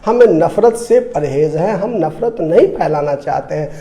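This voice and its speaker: A man's voice: a little high, expressive, unhurried, dark tone. A man from India with a Bihari accent, giving impassioned political commentary, emphatic and repetitive, full of rhetorical questions. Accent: Indian, Bihar region. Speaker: debate guest, commentator.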